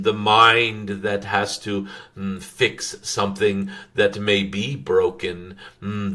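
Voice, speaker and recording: A man voicing a wise, serene dragon spirit in a channeled spiritual message, halting and contemplative with frequent hums and formal phrasing. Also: on the low side, a bit bright.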